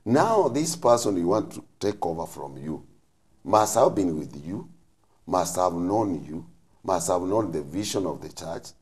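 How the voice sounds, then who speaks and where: Deep, animated preacher. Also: male voice, measured pace. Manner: emphatic, teaching in short phrases. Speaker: bishop, panel guest. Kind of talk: preacherly explanation in a panel discussion. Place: TV studio. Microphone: lapel mic.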